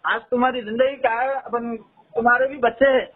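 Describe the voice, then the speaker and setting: A man's voice: very high voice, fairly even intonation, fairly quick, breathy edge. A man with a Hyderabadi accent explaining and reasoning in an informal phone conversation. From Hyderabad, India. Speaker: caller. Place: telephone call, played in a news upload. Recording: tinny phone call recording.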